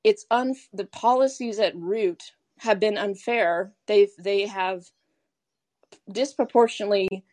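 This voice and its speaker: A woman hosts a call-in podcast and chats casually about politics. Her pitch sits in a middle range. She rambles, circles back and restarts her sentences often. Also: unhurried, darkish tone, no room sound. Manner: rambling, many restarts and fillers. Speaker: podcast host.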